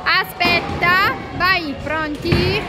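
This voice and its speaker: Excited woman cheering at a noisy bowling alley. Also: very high voice, extremely slow. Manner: excited cheering, announces scores. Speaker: parent filming a family game. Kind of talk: playful running commentary. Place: busy indoor bowling alley. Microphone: handheld phone camera. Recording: loud crowd and music behind.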